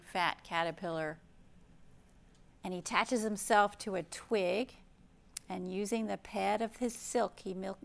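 A woman's voice: mid-range voice, gravelly. A middle-aged American woman reading and explaining simply and expressively to young children, as a librarian hosting storytime. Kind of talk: children's storytime read-aloud and show-and-tell. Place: indoor library room.

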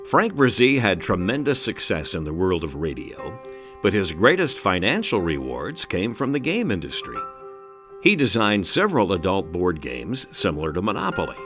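A man's voice: low voice, sing-song, normal speed, over music. A man reading scripted narration as a documentary narrator.

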